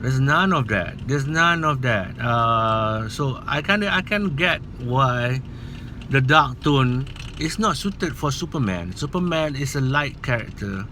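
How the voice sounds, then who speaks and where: Earnest Malaysian man with a bright voice. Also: mid-range voice, lively intonation, unhurried, slight background noise. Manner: earnest, rambling, hesitant. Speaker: movie vlogger. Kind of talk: casual opinion vlog.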